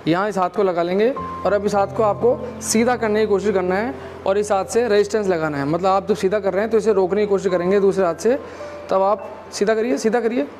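High-voiced man teaching physio exercises. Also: a little fast, faint music behind. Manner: calm, instructive, explains step by step. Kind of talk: clinic exercise demonstration. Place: physiotherapy clinic room.

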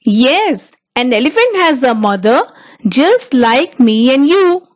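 A woman reading a children's picture book aloud as narrator, with simple, deliberate phrasing for small children. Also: high voice, sing-song. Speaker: storybook narrator.

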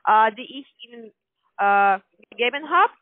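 A woman with a foreign accent in a phone conversation, speaking a second language haltingly as she searches for words. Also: a little high, animated, no echo. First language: not German. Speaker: call-centre finance department manager. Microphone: telephone line. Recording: tinny, narrow-band phone call recording.

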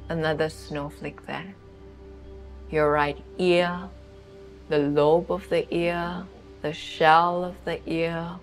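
Female meditation guide, deep-pitched and very slow, soothing delivery with spacious pauses. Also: flat delivery, faint music behind. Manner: soothing, unhurried, with long pauses. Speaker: yoga nidra guide.

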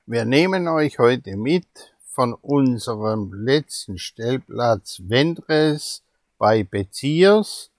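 Mann, gemächlicher Reisevlog-Erzähler. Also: male voice, mid-range voice, no room sound. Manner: slow, easygoing, chatty. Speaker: vlogger. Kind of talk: casual travel vlog narration.

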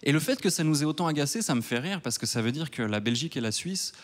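Male comic, 30s, from Switzerland, higher voice, deadpan digs and loud mock rants, live on a theater stage with a handheld mic. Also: fast. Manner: wry and self-mocking, rising to loud mock outrage. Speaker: stand-up comedian. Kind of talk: stand-up comedy set. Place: large theater stage before a live audience.

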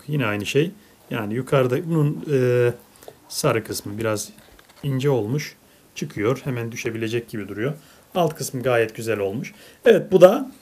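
A woman's voice: very deep voice, a little mellow, slightly rough. A woman narrating a casual toy unboxing for children, as a parent chatting with a child indoors at a table.

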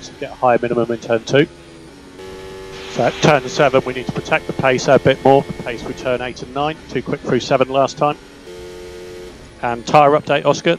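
A man working as a race engineer, giving calm, measured, clipped instructions over team radio. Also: mid-range voice. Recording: noisy radio link with car hum. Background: car engine hum.

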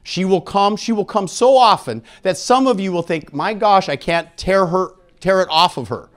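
A man's voice: sits high, moderate pace. A middle-aged man giving a frank, informal live lecture in a jokey, conversational way, speaking into a handheld microphone. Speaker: conference speaker on sexual health. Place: indoor conference room with seated audience.

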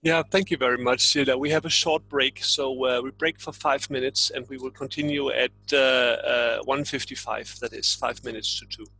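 A man's voice: mid-range voice, fairly even intonation, moderate pace, creaky, few pauses, bright, forceful tone, slightly rough, poor audio. A man chairing an online thesis defence, making formal announcements and handing over the floor. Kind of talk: chairing a meeting.

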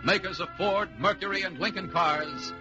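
A man's voice: sits high, moderate pace, lo-fi sound, music in the background. A man announcing from a script in the style of 1940s network radio, on an old broadcast recording.

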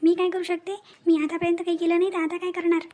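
A woman's voice: extremely high pitch, little pitch movement, fast talker, a little mellow, faint music behind. A woman voicing an anxious, helpless young woman in a family cartoon, speaking in a plaintive way. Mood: worried, despairing. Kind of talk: cartoon family drama dialogue.

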